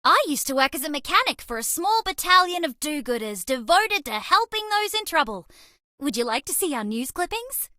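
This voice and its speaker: Casting: eager, quirky young alien mouse girl for a game, sweet-natured, with very lively intonation and a close, dry sound. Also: sits high, moderate pace, no room sound, heavily acted. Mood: chirpy, playful. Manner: bubbly, earnest, quirky, affectionate. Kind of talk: dating game character voice lines.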